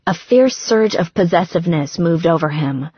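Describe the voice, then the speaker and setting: A woman's voice: on the low side, animated, moderate pace, a little mellow, husky, dry recording, muffled recording. A woman narrating romance fiction as a scripted audiobook.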